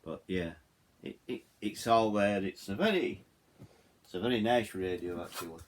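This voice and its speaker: A middle-aged man with a low voice and a Yorkshire accent talks through a product in an informal, hands-on way. He rambles, drifting off track and circling back to repeat his points. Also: normal speed, slight background noise. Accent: Yorkshire English. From Yorkshire, England. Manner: rambling, digressive, repeats himself. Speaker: hobbyist radio reviewer. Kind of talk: casual hands-on product walkthrough.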